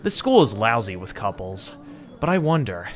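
A man's voice: lowish voice, big pitch swings, normal speed. A man voicing an anxious, lonely teenage schoolboy in a dramatic horror manga reading. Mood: eager, awkward.

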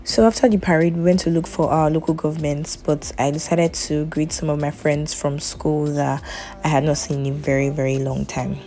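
A woman, vlogging in a chatty, wry way, her voice worn hoarse. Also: deep voice, little pitch movement, moderate pace. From Nigeria. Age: 20s. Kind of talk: casual vlog, voice-over and selfie clips. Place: outdoor camp grounds and inside a car. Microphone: phone camera at arm's length. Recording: loud crowd and music behind.

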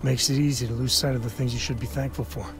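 An actor playing a rugged, bashful space cowboy and affectionate father in intimate video game dialogue, speaking haltingly and sincerely. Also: medium pitch, fairly even intonation, close to an everyday voice. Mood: sincere, grateful. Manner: halting, earnest, sheepish. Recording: music behind the voice.

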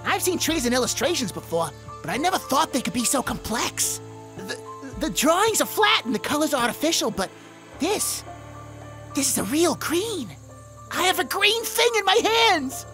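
A woman voicing an excitable, curious young male demon in a cartoon comic dub, theatrical and cheeky. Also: sits high, moderate pace. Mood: awed, excited. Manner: theatrical, wonder-struck, cheeky. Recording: music behind the voice.

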